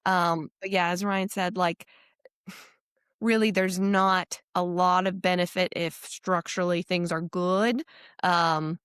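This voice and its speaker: Smooth, low-voiced female running coach. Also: flat delivery, slow pace, a bit bright, dry recording, clean studio sound. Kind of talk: casual podcast discussion.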